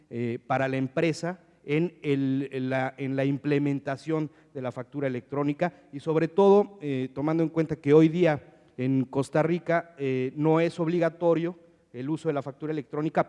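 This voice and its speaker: A man with a Mexican accent moderating a conference panel in a semi-formal, hesitant and halting way, often repeating his words. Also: a little high, steady tone, mellow, clear, resonant tone. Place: conference hall. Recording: reverberant conference hall sound.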